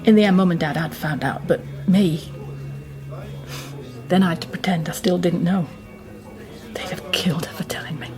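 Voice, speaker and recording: Woman acting hesitant lady in a radio play, lowish voice, confiding, music underneath. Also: moderate pace, long pauses, close to an everyday voice. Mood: subdued, confiding. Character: hesitant woman confiding a long-kept family secret. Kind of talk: naturalistic radio drama acting.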